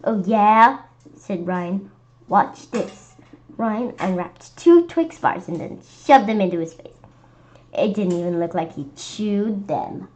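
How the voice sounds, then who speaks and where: A woman reading a children's story aloud in an expressive, animated way, occasionally stumbling over words. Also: medium pitch, slow pace. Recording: dull lo-fi sound with clear background noise.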